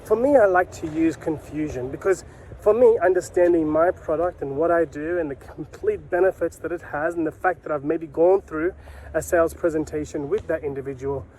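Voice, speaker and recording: Higher-pitched man coaching, mellow tone. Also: normal speed, slight background noise, poor audio. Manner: emphatic, repeats key phrases, rhetorical questions. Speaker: sales coach and video host. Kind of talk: instructional talk to camera.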